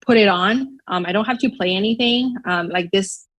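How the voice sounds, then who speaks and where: A woman from Shanghai with a Chinese accent, answering interview questions thoughtfully and filling pauses with "um" and "like". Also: mid-range voice, a bit bright. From Shanghai, China. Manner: thoughtful, many fillers like "um" and "like". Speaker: podcast guest.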